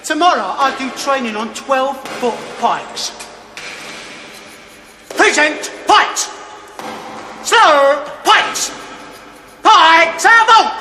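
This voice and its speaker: A man's voice: very high-pitched, sharp tone, full character voice. An actor playing a cocky, eager young male recruit, boastful and given to barking like a drill instructor. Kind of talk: theatrical television stage-play acting. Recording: echoey hall, noisy old TV tape.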